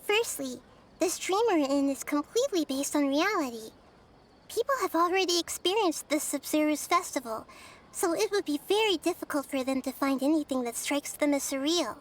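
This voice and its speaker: A woman voicing a gentle, wise young girl deity in a video game, speaking in a measured, earnest way. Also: high-pitched, lively intonation. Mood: explaining calmly.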